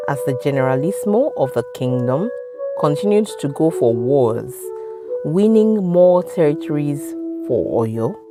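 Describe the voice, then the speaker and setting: A woman with a Nigerian accent narrating a folktale in dramatic, measured phrases. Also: low voice. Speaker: storyteller. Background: music.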